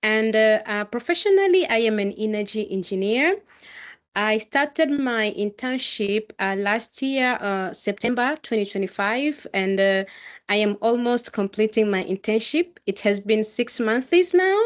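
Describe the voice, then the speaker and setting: A woman's voice: medium pitch, animated, unhurried. A woman from Tanzania with a Tanzanian accent, giving a semi-formal spoken presentation as an intern. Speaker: intern, energy engineer.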